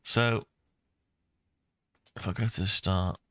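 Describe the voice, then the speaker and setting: A man's voice: lowish voice, fairly even intonation, takes it slowly, pushed and bright. A man in his sixties giving a casual screen-recorded tutorial, rambling and thinking aloud as he goes. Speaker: maker and video host.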